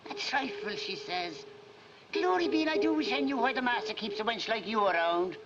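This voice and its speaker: A man's voice: very high voice, animated, moderate pace, a loud crowd. A man voicing a cheeky, mischievous talking harp in an Irish accent, teasing in flowery, lilting phrases for a fairy-tale film comedy. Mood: sarcastic, needling. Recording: dull old film soundtrack, clear noise.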